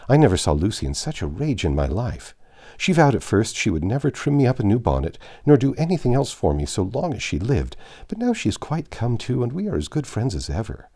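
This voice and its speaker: A man voicing a gossipy, excitable, vulgar young Regency woman in a storybook reading, chattering on in run-on sentences. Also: lowish voice, exaggerated voice. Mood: pleased chattering.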